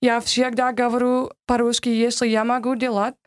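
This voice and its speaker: A woman's voice: on the high side, level tone, normal speed, no echo, studio quality. American woman, careful learner's Russian. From United States. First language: English. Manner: speaks careful learner's Russian, laughs easily. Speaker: guest. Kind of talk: interview answers, switching between Russian and English.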